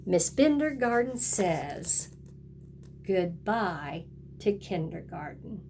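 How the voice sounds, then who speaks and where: A woman's voice: fairly low pitch, sing-song, slow pace, noisy background. A woman kindergarten teacher reading a picture book aloud and talking directly to young children in a sing-song way.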